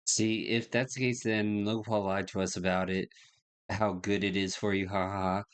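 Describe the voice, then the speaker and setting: A man's voice: fairly low pitch, flat delivery, normal speed, clean tone. A man livestreaming a game, chatting casually with music playing behind him.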